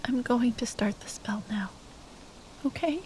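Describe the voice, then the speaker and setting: Woman acting a slow, hushed, grieving young sorceress. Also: fairly high pitch, slight background noise, lightly acted, rough recording. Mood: tender resolve. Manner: hushed, halting, often dropping into whispers. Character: grieving young sorceress ex-girlfriend at a bedside, tender and despairing. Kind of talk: intimate ASMR roleplay acting.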